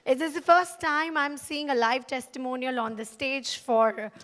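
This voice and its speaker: A woman's voice: high voice, fairly even intonation, normal speed, a bit bright. A woman acting as event emcee who introduces the speakers with upbeat announcements. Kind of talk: stage announcing. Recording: hall reverb with faint music.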